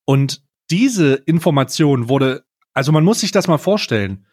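A man's voice: on the high side, expressive, normal speed, a little mellow. A man co-hosting a casual comedic podcast, telling stories in a rambling, mocking way. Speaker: podcast co-host.

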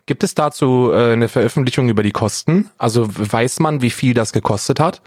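A man's voice: mid-range voice, fairly quick, darkish tone, no room sound, studio quality. A man chatting casually as a podcast co-host in a blunt, colloquial way.

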